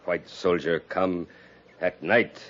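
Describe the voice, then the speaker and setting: An actor playing a proud, vengeful Cheyenne chief in a 1950s radio drama, speaking grave, halting broken English in short clipped phrases. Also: male voice, low voice, fairly even intonation, a bit bright. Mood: stern, wronged. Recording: dull 1950s radio recording.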